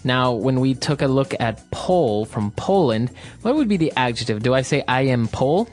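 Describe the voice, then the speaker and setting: A man from Ecuador co-hosting an English lesson podcast, upbeat and often affirming with a quick "that's right". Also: mid-range voice, sing-song, a little mellow, faint music behind. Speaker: podcast co-host, English teacher. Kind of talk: scripted teaching dialogue.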